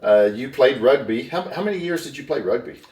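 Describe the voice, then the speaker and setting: A man's voice: medium pitch, animated, brisk, a little mellow. A middle-aged man hosting a podcast, talking in an enthusiastic, rambling way with many fillers. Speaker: podcast host. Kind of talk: casual interview chat. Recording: roomy, distant from the mic.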